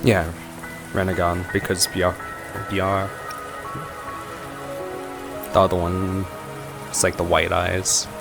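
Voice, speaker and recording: A man's voice: low voice, completely flat delivery, slow pace, gravelly, long pauses. A man casually reacting to a game's story as a streamer, musing in an offhand, playful way. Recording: game music and rain behind voice. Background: game music, rain.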